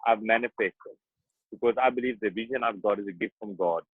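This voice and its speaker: A boxer, talking earnestly and emphatically in an interview, often repeating himself. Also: male voice, on the low side, fairly quick, slightly rough, dry recording. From South Africa. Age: 30s. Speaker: boxer guest. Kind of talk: interview conversation. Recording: tinny phone-like dry sound.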